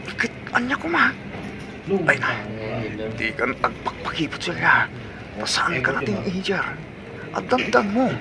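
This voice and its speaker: An actor playing a pushy, excitable young son and boyfriend in an Ilocano radio comedy drama, speaking with an Ilocano accent. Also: male voice, high-pitched, a little slow, gravelly, pauses often, a loud crowd, full character voice. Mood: coaxing, sly. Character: pushy, excitable young adult son courting his girlfriend.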